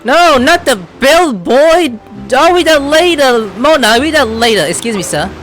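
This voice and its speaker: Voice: high voice, animated, moderate pace, a bit bright, faint music behind. A gamer giving casual, chatty commentary, muttering along to the game and its characters. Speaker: game streamer.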